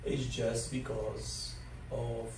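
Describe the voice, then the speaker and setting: A man's voice: medium pitch, flat delivery, very slow. A man in his sixties from Morocco, telling his life story in an interview with plenty of fillers and chuckles. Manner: rambling, chuckles often, many fillers. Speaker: interview guest, dining director. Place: large learning center room. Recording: echoey room from a distance, faint noise.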